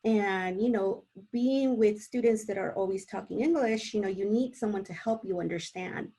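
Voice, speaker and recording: Mid-pitched woman, native Spanish speaker. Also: moderate pace, warm tone, husky. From born in El Salvador. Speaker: panelist. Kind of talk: informal personal storytelling on a panel.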